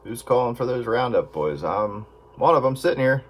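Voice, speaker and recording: A man's voice: medium pitch, animated, moderate pace. A man chatting casually over two-way radio in plain, folksy radio slang. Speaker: amateur radio operator. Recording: very noisy radio with static and clicks.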